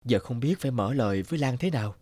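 A man's voice: medium pitch, lively intonation, fast, mellow, no echo, lightly acted. A man voicing an earnest, anxious young suitor in a cartoon, speaking a little hesitantly. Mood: worried musing. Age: young adult. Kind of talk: cartoon voice acting.

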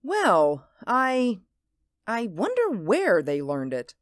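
A woman's voice: mid-range voice, huge pitch swings, takes it slowly, no room sound, clean studio sound. A woman playing an indulgent, mildly uneasy housewife and mother in an audio drama, polite and a little hesitant. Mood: puzzled.

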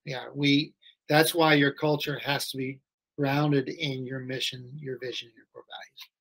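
A middle-aged man, a school head, speaking as a podcast guest over a video call in a thoughtful, measured way. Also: mid-range voice, flat delivery, fairly bright tone, low-quality recording. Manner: thoughtful, measured, restarts phrases. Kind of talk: casual interview chat. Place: home or office, video call.

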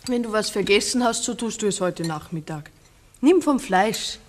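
A faintly noisy old radio play with tableware clinking. A practical farmer's wife , played by an actress, talks curtly in a medium-pitched voice with an anxious edge. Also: female voice, lively intonation, normal speed, lightly acted. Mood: brisk, practical. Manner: curt, practical. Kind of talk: 1960s German-language radio play acting.

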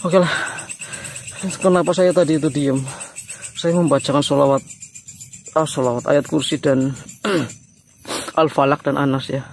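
A ghost-hunting Indonesian man speaks and prays outdoors at night while suffering from a cold. His voice sits slightly high for a man and often breaks into fry. Crickets and insects sound faintly around him. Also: steady tone, unhurried, pauses often, slight background noise. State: has a cold and flu, feeling weak. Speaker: ghost-hunting vlogger, live streamer. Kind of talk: casual vlog talk with murmured prayers.

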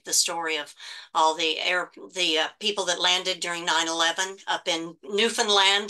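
A woman's voice: on the low side, low-quality recording. A middle-aged woman with a Texan accent, chatting and telling stories as a guest on a video call. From Texas, USA. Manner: chatty, animated, laughs easily. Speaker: guest actress. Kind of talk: casual interview storytelling.

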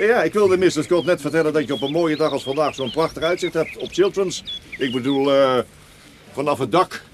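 A man's voice: a little high, moderate pace, noisy background, close to an everyday voice. Man playing discreet, dryly probing companion. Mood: covering up. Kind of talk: Dutch radio drama acting.

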